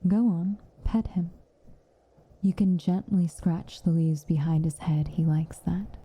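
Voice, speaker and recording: Woman playing a slow, dark-voiced, breathy witch. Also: on the low side, fairly even intonation, slight background noise. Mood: gently commanding. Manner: measured, soft, often dropping to a whisper, dryly commanding. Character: stern but caring tsundere witch woman, protective. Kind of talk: ASMR roleplay, intimate acted monologue.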